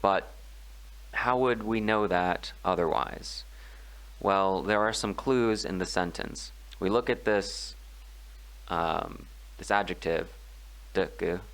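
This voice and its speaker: A man explaining a grammar exercise in a tutorial, speaking in a slow, measured way with frequent pauses and filler sounds. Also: sits low, a bit bright, low-quality recording. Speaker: language tutor. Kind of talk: screen-recorded grammar tutorial.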